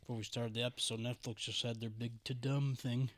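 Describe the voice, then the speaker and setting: A young man with a Western New York accent riffs loudly on a casual podcast. He swears freely and jokes constantly. Now and then he croons a line of a song. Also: medium pitch, level tone, brisk. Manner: loud, profane, jokey, sings snatches of songs. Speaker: podcast co-host. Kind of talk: casual banter.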